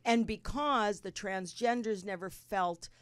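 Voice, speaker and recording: A woman's voice: mid-range voice, a little slow. A middle-aged woman hosting a casual podcast chat, asking probing questions and teasing her guests playfully.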